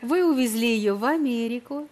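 A middle-aged woman hosting a television dating show, questioning a guest with quick, ironic teasing. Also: a little high, darkish tone. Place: large television studio.

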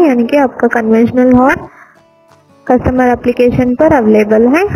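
A woman talks viewers through an app one step at a time, her voice sitting a little high. She calls her audience friends and explains each tap plainly. Soft music plays faintly under her voice-over. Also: vocal fry, poor audio. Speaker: app tutorial presenter. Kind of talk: screen-recorded app walkthrough. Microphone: voice-over over screen recording.

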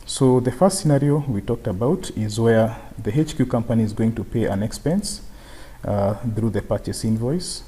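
A man presenting an unscripted software tutorial, explaining step by step and often repeating his phrases. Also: medium pitch, animated, moderate pace, husky. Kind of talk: unscripted screen-share tutorial.

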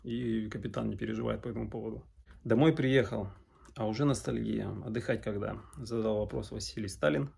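A man's voice: on the low side, fairly even intonation, brisk, creaky, a little mellow, slightly rough. A man in his thirties from Arkhangelsk in northern Russia, answering questions in a casual vlog with hesitant, broken phrasing. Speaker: sailor vlogger. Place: small indoor room at home.

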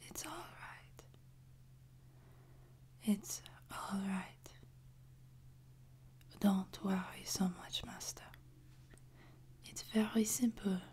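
A woman playing a soothing, devoted French maid in a whispered ASMR sleep-aid roleplay, with a French accent and a soft, breathy delivery. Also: medium pitch, close to an everyday voice. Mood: soothing, tender. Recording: noticeable background noise, ambience.

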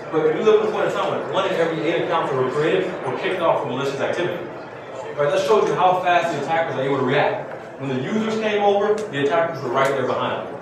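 Man presenting in a very echoey room. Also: a little high. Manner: rhetorical questions, frequent "right?" tags. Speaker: keynote speaker, security researcher. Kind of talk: conference presentation to an audience. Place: indoor conference room with seated audience. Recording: very echoey room, distant mic, clearly noisy.

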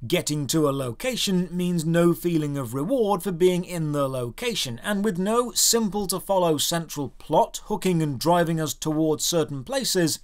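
A man narrating a scripted video game review in a wry, exasperated way, putting rhetorical questions to the viewer. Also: high-pitched.

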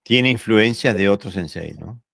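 A man with a Rioplatense Spanish accent hosting a podcast, agreeable and quick to back up his guest. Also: on the low side, lively intonation, creaky, a bit bright, dry recording, studio quality. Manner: agreeable, brief backchannels, laughs easily. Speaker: podcast host. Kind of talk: casual podcast conversation.

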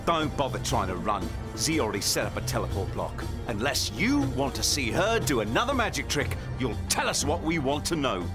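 An actor playing a cynical, brash British con man with a gravelly voice and slangy, sardonic delivery in a game cutscene. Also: mid-range voice, sing-song, fairly quick, music in the background. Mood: threatening. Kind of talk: video game cutscene acting.

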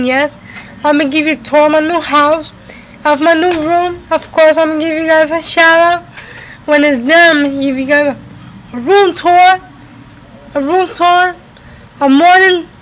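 A woman's voice: high voice, fairly even intonation, a little slow, pauses often, a bit bright, a little hiss or hum, poor audio. A woman in her twenties from the United States, chatting casually to camera as a vlogger and repeating her phrases for emphasis. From United States, New Jersey and Florida. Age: 20s. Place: indoors at home by a window. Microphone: webcam at arm's length.

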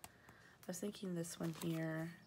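A woman's voice: on the low side, fairly even intonation, a little slow, a little mellow, slight background noise. A woman with a sniffly voice from allergies, chatting through her planner stickers with fillers and self-corrections. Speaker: planner vlogger. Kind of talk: casual show-and-tell narration. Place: at home at a table.

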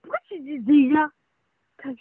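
A woman heard over a video chat, bossy and quick to insult. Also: high voice, no echo. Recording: tinny phone-like call audio.

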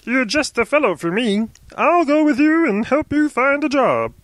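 A man's voice: very high voice, very animated, normal speed, fairly bright tone, dry recording, pitched above the performer's own voice, heavily acted. A man voicing a large, lumbering, timid elephant-man in a storybook, with a fretful, exclamatory manner. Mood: eager, friendly.

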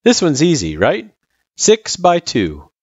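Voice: mid-range voice, wildly swooping pitch, takes it slowly, darkish tone, slightly rough, no echo, lo-fi sound, pitched above the performer's own voice, heavily acted. A storyteller voicing a laid-back, kindly male figure who tutors in a casual, slangy and patient way. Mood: patient, teasing.

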